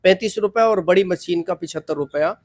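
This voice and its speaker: A man's voice: high voice, a little fast, no echo, clean studio sound. A man speaking as a community advocate in an interview, earnest and emphatic, repeating phrases to press his point.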